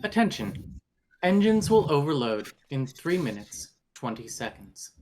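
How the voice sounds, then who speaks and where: A woman's voice: sits low, big pitch swings, unhurried, darkish tone, rough recording. A woman playing a spaceship computer in a table read, making formal, impassive warning announcements. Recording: faint background noise, clicks.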